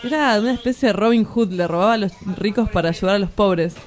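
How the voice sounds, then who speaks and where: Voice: medium pitch, fast talker, lightly acted. An actor with an Argentine accent playing a cocky, romantic kidnapper in an audio drama, teasing and breezy. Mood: playful excited. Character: cocky young male kidnapper, sly romantic schemer. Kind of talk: dramatic dialogue acting.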